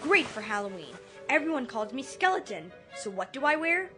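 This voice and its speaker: A woman playing an excitable thirteen-year-old boy in a radio drama. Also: on the high side, normal speed. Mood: gleeful. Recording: music and crowd noise behind.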